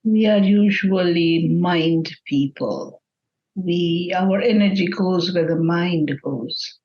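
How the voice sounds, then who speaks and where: A woman leading a guided meditation in a gentle, measured manner with long pauses between phrases. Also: lowish voice, lo-fi sound.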